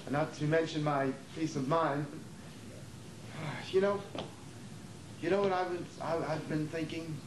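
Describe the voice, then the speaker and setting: A man's voice: a little high, steady tone, measured pace, long pauses, lightly acted. A man playing a wistful, nervous aging would-be actor, stammering and weary, in an amateur stage play. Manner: hesitant, stammering, repeating words. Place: theater during a rehearsal. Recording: distant, echoey, noisy rehearsal tape.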